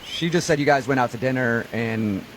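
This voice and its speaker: A man's voice: mid-range voice, brisk, a little hiss or hum, rough recording. A man hosting a radio show, chatting casually and probing with questions in an amused way. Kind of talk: casual phone prank-call radio chat.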